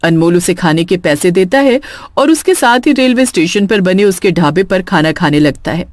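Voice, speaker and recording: A woman's voice: lowish voice, animated, moderate pace, no echo, clean studio sound. A woman narrating a scripted horror story in a dramatic storytelling style.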